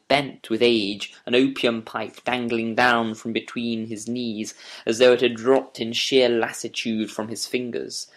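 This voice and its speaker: A man narrating a first-person audiobook story with measured, literary, deliberate phrasing. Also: mid-range voice. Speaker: audiobook narrator reading as Doctor Watson.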